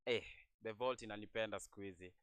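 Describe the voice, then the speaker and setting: A Kenyan man co-hosting a radio show, lively and quick with interjections, mixing slang into casual chat. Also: lowish voice, clear, resonant tone, no room sound. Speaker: radio co-host. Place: radio studio.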